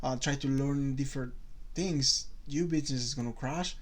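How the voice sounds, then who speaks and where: A man's voice: medium pitch, normal speed. A man hosting a podcast, asking casual questions and backing up his guest with short, encouraging agreements. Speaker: podcast host. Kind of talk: casual interview conversation. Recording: faint background noise.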